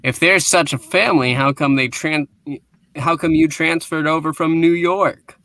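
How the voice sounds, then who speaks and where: A man's voice: on the high side, fairly even intonation, moderate pace, bright, forceful tone, dry recording. A man reading the part of a self-conscious, doubtful American superhero in an amateur comic book reading, casual and grumbling. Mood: doubtful, curious.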